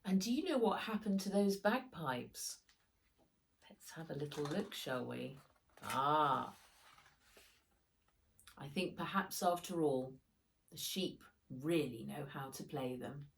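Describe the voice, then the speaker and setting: A woman reading a children's storybook aloud as its author, with animated, expressive delivery and dramatic emphasis. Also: deep voice, slow, gravelly, long pauses.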